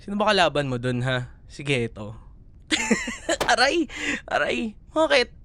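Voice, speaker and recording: A man's voice: high-pitched, huge pitch swings, a little slow, sharp tone, heavily acted. A young man with a Filipino accent playing a playful, affectionate but exasperated student boyfriend in an intimate audio roleplay, coaxing and teasing as he talks. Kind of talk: ASMR boyfriend roleplay, casual Tagalog banter.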